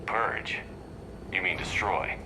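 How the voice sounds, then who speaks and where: An actor playing a decisive military commander in a sci-fi video game, speaking in a terse, firm manner. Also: male voice, low voice, slow pace, background noise. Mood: terse, businesslike.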